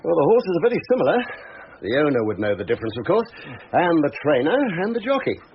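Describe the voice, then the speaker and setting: An actor playing a precise, knowing racing brigadier in a crime drama, measured and formal as he explains. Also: on the high side, very animated. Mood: knowing, explanatory. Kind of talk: British police drama acting. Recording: dull lo-fi audio with background hiss.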